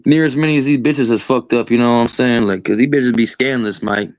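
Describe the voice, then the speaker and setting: A young man in his early twenties talks loosely and crudely, circling his point with "like" and "bro" at every turn. His voice sits at a mid pitch for a man and comes across dry and close, with no room around it. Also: steady tone, fast, dry recording. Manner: rambling, crude, says "bro" and "like" constantly. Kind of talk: casual profane chat.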